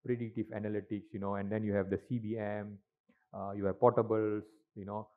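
A man's voice: fairly low pitch, level tone, a little fast. A middle-aged man giving a conference talk on stage into a handheld mic, with lots of "uh" and "right?" tags. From Malaysia. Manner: filler-heavy, frequent tag questions. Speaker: industry speaker at a conference. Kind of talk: presentation talk. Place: large conference hall stage. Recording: tinny, lo-fi hall recording.

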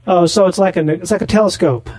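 A man making a deadpan prank phone call, rambling naively and slow to catch on. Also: high voice, dry recording. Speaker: prank caller on a comedy podcast.

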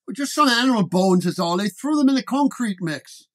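A man voicing a flustered, confused police officer, blunt and excitable, in a radio drama. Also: sits high, brisk, voice pushed up.

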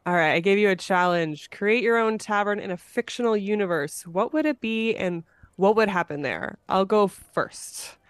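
A woman's voice: medium pitch, moderate pace, clear, resonant tone, no room sound, clean studio sound. A woman with an American accent hosting a casual podcast conversation. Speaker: podcast host.

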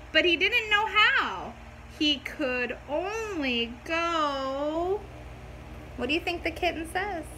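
A high-voiced woman in middle age reads a picture book to children. She is very animated and unhurried, with an expressive delivery. There is faint noise in the home recording. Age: middle-aged. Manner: expressive, asking the listeners questions. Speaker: storybook reader for children. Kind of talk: animated children's read-aloud. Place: room at home, indoors.

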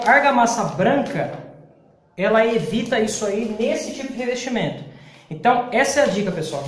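A man in his forties from Brazil, giving a casual, chatty tile-setting tutorial in an unfinished kitchen and often calling out to his viewers. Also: high-pitched. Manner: chatty, calls viewers "pessoal" and "galera". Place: unfinished apartment kitchen, indoors. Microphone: camera at close range. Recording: echoey bare room.

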